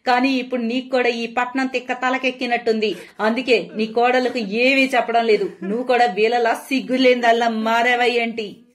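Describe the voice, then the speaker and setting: A woman's voice: on the high side, flat delivery, normal speed, natural voice. A woman voicing an indignant, reproachful village aunt in a cartoon story.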